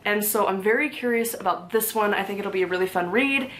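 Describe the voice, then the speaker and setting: A woman's voice: medium pitch, fast, fairly bright tone. A young woman chatting casually at home about her library books, rambling, full of "like" fillers, with easy laughs. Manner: rambling, chatty, many "like" fillers and self-corrections; easily laughs, talks to her cat between books. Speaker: book vlogger. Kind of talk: casual chat. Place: home, indoors.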